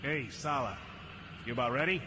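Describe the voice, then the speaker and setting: An actor playing a brash, gruff smuggler pilot with an impatient, wisecracking manner in a sci-fi audio drama. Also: male voice, medium pitch, moderate pace, over loud music, close to an everyday voice. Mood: wry. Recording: clear ambient noise.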